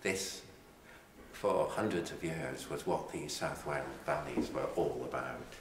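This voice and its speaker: An older man, a poet, reading and introducing his poetry in a measured, deliberate way with pauses. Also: extremely low pitch. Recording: echoey reverberant hall with background noise.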